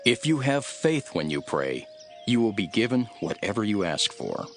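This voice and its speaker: A calm male prophet and teacher who speaks with authority in a scripture drama. His voice sits fairly low yet moves expressively, with soft music behind it. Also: normal speed. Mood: assured.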